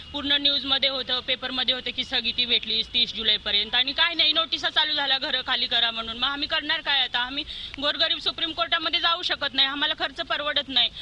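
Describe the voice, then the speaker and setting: A woman's voice: sits high, sharp tone. A woman, a local resident making an aggrieved plea in an interview on location. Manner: aggrieved, pleading. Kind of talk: spontaneous street interview. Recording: faintly noisy location interview.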